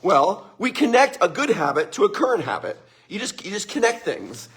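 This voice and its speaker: Upbeat middle-aged male motivational speaker, husky and fairly high for a man, live-stream feel. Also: wildly swooping pitch, normal speed, a bit bright. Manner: upbeat, greets viewers by name, laughs often.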